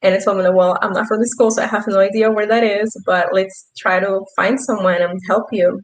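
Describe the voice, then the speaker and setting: A woman's voice: mid-range voice, fairly even intonation, a little fast, poor audio. A young woman from Peru whose first language is Spanish, telling an earnest story on a youth panel with many fillers. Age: young adult. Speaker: youth intern.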